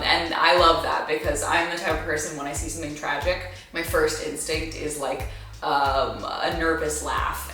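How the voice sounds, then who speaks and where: Young woman, lowish voice, very echoey room, distant mic, casual vlogger chat, animated and gushing. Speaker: book review vlogger.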